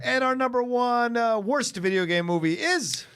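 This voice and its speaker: A man co-hosting a podcast with playful, animated, casual banter. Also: very high-pitched, normal speed, over music. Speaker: podcast co-host.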